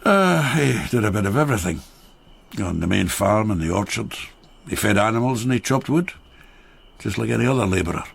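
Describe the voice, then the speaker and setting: An actor playing a rueful rural labourer of old Japan in a radio drama, a wry storyteller with a gravelly, husky voice. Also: male voice, lowish voice, lively intonation, fairly quick, exaggerated voice. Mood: scornful, animated.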